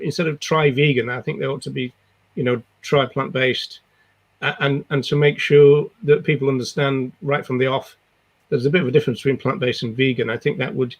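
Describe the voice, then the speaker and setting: An older man with a croaky voice from a cold, co-hosting a casual video-call discussion in a rambling, hesitant way. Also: mid-range voice. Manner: rambling, hesitant, lots of restarts. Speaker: co-host. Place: home room.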